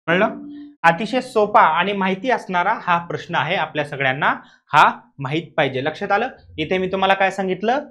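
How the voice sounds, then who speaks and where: A man's voice: high voice, expressive, a little fast, pushed and bright. A man teaching an exam coaching lesson, explaining emphatically, repeating key points and often checking understanding with rhetorical questions.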